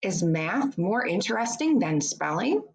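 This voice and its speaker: Slow-paced woman reading aloud to pupils. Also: medium pitch, big pitch swings, gravelly, poor audio. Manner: deliberate, instructive, addressing young pupils. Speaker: teacher reading a book aloud. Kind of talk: children's educational read-aloud with short explanations.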